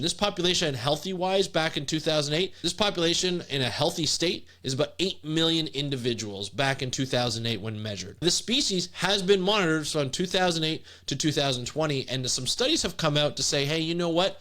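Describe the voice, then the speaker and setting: Congested man hosting a podcast. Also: fairly high pitch. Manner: energetic, conversational, with frequent fillers and restarts. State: congested from a cold. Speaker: podcast host. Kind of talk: solo podcast talk.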